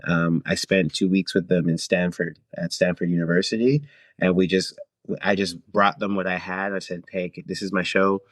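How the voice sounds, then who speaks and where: A man with a very deep voice speaks as a guest in a relaxed interview. He comes from Canada and thinks aloud in short, halting phrases. The sound is very dry, with next to no room in it. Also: no room sound. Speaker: guest, singer-songwriter and theater performer. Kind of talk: casual interview conversation.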